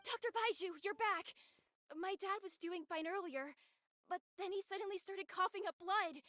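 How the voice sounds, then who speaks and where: A woman's voice: extremely high pitch, moderate pace, fairly bright tone, no echo, clean studio sound. A woman voicing an anxious, earnest young girl, a devoted daughter, in a video game. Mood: alarmed, worried. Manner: earnest, worried delivery.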